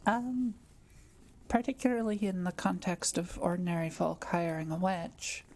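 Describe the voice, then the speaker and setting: Kind witch for audio roleplay, female performer: relaxed, crackly low-leaning voice, gentle and thoughtful, quietly proud of her craft. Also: measured pace. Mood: surprised, thoughtful. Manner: gentle, hesitant, explanatory musing with small hums. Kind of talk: intimate audio roleplay addressed to the listener.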